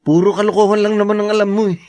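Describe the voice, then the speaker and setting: A man's voice: high-pitched, fairly even intonation, quick pace, a little mellow, dry recording, lightly acted. A man playing an exasperated husband in a Filipino radio drama, speaking with a Filipino accent. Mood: amused, griping. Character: exasperated husband dodging his visiting in-laws.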